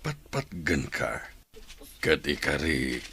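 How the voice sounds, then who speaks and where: A man acting a tender, patient, devoted suitor in a radio drama, with a deep gravelly voice. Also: big pitch swings, takes it slowly, bright, forceful tone, slight background noise, heavily acted. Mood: tender, earnest.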